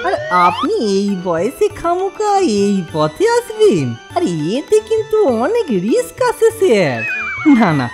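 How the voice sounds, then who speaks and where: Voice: high-pitched, heavily acted. An actor voicing a cheeky, servile village thief in a comic cartoon, wheedling and rustic. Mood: alarmed. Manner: obsequious, rustic wheedling, keeps saying sir. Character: cheeky village thief, servile, earnestly arguing simpleton man.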